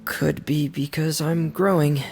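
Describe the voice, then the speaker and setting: A woman playing a shy, hesitant, soft-hearted teenage boy in a video game, speaking in a halting, reluctant way. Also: sits low, steady tone, soft background music. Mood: evasive.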